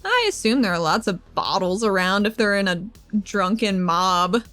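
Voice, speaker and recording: A woman's voice: medium pitch, animated, normal speed, a bit bright, soft background music. A woman chatting casually as a player in a tabletop roleplaying game, informal and sweary.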